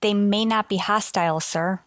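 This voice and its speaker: A woman plays a dutiful female starship officer in a retro sci-fi radio play. She reports formally and earnestly in a medium-pitched voice, recorded close and dry. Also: fairly even intonation, normal speed, no room sound, close to an everyday voice. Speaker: actor. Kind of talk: golden age sci-fi radio drama acting.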